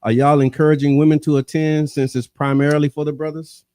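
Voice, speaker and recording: A man hosting a casual radio interview who stammers through restarts and reads listener comments out loud. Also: on the high side, little pitch movement, a little fast, clean tone, dry recording. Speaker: radio host.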